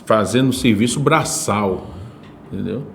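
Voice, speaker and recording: A man's voice: fairly low pitch, measured pace, creaky, a little hiss or hum. A man from Salvador with a Bahian Brazilian accent, hosting a podcast in a casual, conversational way. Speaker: podcast host.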